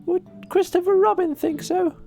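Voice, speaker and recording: A woman voicing a small, earnest, childlike piglet with a high squeaky voice in a children's audiobook. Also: steady tone, normal speed, gravelly, dark tone, faint music behind. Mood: earnest, hopeful. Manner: earnest, questioning. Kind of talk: children's audiobook character acting.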